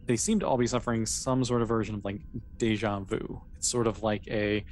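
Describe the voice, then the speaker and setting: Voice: mid-range voice, fairly even intonation, brisk, a little mellow, faint music behind. A game master narrating a tabletop role-playing session in a conversational, improvised way, feeling out the phrasing along the way.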